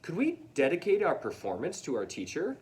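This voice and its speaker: A man voicing earnest, excitable young schoolboys who exclaim and question, in a read-aloud storybook. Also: fairly high pitch, fairly quick, recorded from a distance, voice pushed up. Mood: hopeful.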